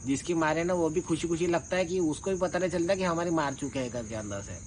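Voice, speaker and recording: A man's voice: a little high, fast talker. A man giving an informal, colloquial rebuttal in an accusatory, confrontational manner, like someone airing a grievance. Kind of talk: informal spoken rebuttal monologue. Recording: clear background noise with insect-like chirping.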